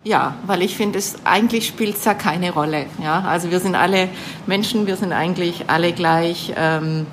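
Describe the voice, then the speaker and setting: Swabian woman shop owner, lowish voice, halting, lots of fillers, faint noise behind, recorded in her shop. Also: rough recording. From Baden-Württemberg. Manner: hesitant, many fillers. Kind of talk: interview answers.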